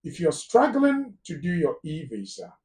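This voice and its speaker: A man's voice: on the high side, wildly swooping pitch, moderate pace, darkish tone, far from the mic. A man with a Ghanaian English accent giving immigration advice on a live phone-in talk show, emphatic and repeating words for stress. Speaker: immigration lawyer guest.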